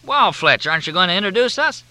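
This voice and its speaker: A flustered male agent, as a narrator puts him on, blustering with forced jollity in a voice pushed high. The tone is bright and bouncy. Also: huge pitch swings, measured pace, exaggerated voice.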